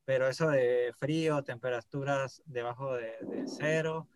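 A man's voice: mid-range voice, fairly even intonation, a little slow, crowd noise. A man from Venezuela with a Venezuelan accent, a guest on a podcast chatting casually and hesitantly, often repeating words. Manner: hesitant, many "este" fillers and repeats. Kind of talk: casual interview chat.

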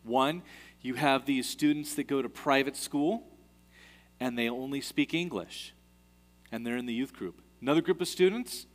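A man's voice: medium pitch, normal speed, in a large hall, faint music behind. A man preaching a sermon in an earnest way, often repeating key phrases for emphasis.

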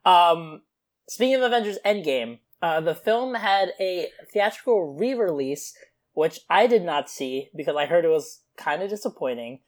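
A man's voice: high-pitched, moderate pace. A young man co-hosting a pop culture podcast, chatting casually and filling gaps with uh and um. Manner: relaxed, lots of uh and um fillers. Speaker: podcast co-host. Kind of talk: casual chat.

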